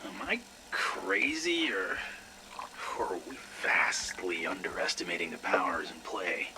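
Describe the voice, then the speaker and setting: An actor playing a sleepless, paranoid American scientist, rambling nervously and haltingly through a dramatic game audio log. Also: male voice, low voice, very animated, very noisy. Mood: anxious, secretive.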